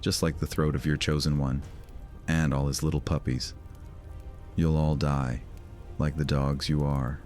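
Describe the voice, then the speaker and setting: A cruel vampire lord , voiced by a man, taunts and threatens with a sneer. His voice sits extremely low. Faint music plays behind him. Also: level tone, unhurried, darkish tone. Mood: taunting. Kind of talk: audio drama roleplay.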